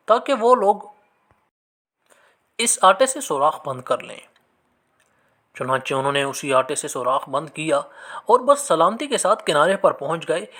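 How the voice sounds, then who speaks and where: A man narrating scripted religious moral stories in an expressive, measured storytelling manner. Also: sits high.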